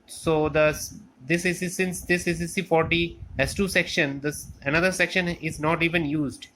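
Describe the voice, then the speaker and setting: A man giving an unscripted technical walkthrough as a hobbyist, explaining step by step in a halting way and repeating phrases. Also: fairly high pitch, fairly even intonation, pushed and bright. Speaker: electronics hobbyist demonstrating a restored amplifier. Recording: clear background noise with clicks.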